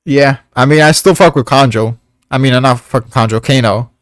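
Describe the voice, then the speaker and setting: A man's voice: medium pitch, fairly quick, no room sound, studio quality. A man chatting casually as co-host of a discussion show, rambling along in profane, slangy talk. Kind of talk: casual chat.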